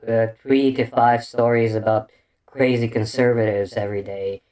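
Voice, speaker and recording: Slow, rambling man with an odd accent. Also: mid-range voice, a little mellow, rough recording. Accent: unusual non-native English accent. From Bulgaria. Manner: meandering, crude, full of restarts and fillers. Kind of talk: casual rambling commentary monologue.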